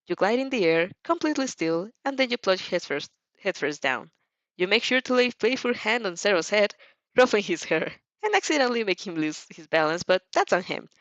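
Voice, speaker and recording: A woman narrates fan fiction in an expressive storytelling style. Her pitch moves a great deal, and the recording is dry and slightly muffled. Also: a little fast, dry recording.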